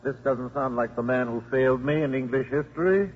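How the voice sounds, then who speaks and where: Genial cardinal actor, dignified but dry and playful, 50s radio play, dull sound w faint noise. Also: male voice, medium pitch, a little fast, lightly acted. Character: genial, urbane Cardinal, teasing old pupil. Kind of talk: 1950s radio drama acting. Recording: muffled, noisy 1950s radio broadcast.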